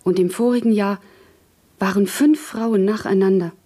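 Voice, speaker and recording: An actress playing a deferential, anxious country housemaid looking for a position in a German radio play, meek and dutiful. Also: female voice, mid-range voice, steady tone, moderate pace, warm tone, close to an everyday voice. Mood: earnest. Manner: meek, dutiful, short obedient answers.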